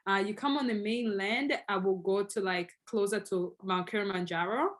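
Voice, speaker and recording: A young woman from Tanzania whose first language is Swahili talks as an interview guest. Her voice sits in a middle range. She explains at length in a conversational, animated way, with frequent fillers and restarts. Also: medium pitch, moderate pace.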